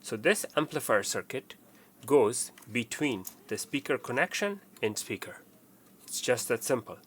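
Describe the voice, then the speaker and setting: A man's voice: medium pitch, animated, a little slow, fairly bright tone. A man giving a casual, step-by-step installation walkthrough, explaining plainly and often repeating his instructions. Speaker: technician presenting a how-to tutorial.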